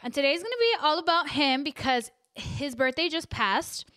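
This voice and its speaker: Bubbly host in her twenties, high bright voice, close dry mic. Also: sing-song, normal speed, dry recording. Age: 20s. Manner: bubbly, giggly. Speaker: podcast host. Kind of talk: casual chat.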